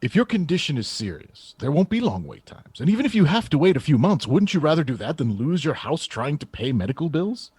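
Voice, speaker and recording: An actor playing a confident, combative presidential chief of staff in a radio theater piece, answering in an assertive, polished politician's manner. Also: male voice, a little high, big pitch swings, fairly quick, hardly any pauses, darkish tone, slightly rough, no echo, exaggerated voice. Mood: persuasive. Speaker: actor in an audio drama.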